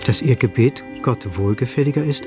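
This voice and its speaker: A man narrating a historical radio play as a grave, measured storyteller. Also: mid-range voice, over music, lightly acted. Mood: solemn, suspenseful. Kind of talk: scripted dramatic narration in present tense.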